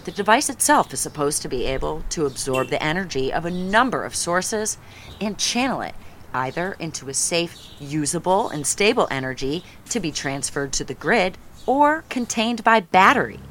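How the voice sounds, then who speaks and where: A woman's voice: on the low side, big pitch swings, normal speed, fairly bright tone, slight background noise. An actress playing a female TV news reporter delivering a live field report. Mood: calm. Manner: crisp, urgent reporting.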